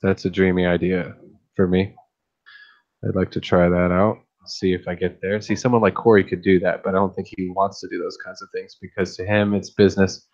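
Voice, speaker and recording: A man with a deep, very low voice talks in a flat, even tone. He rambles easily, thinking aloud and restarting often as he chats late at night. The sound is a little muffled and lo-fi. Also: a little fast. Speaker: fish-keeping streamer and YouTuber. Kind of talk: casual late-night chat.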